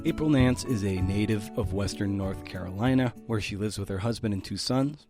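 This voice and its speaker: A man's voice: on the low side, brisk, over loud music. A man hosting a podcast, speaking conversationally and informally straight to his listeners. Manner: informal, addresses listeners directly. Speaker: podcast host and editor.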